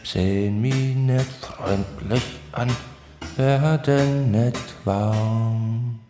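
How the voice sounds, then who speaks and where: A man with a Swabian accent slowly delivering the verses of a folk song. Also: mid-range voice, steady tone, warm tone, soft background music. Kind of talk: slow singing or chanting of a folk song. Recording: roomy echo with instrument.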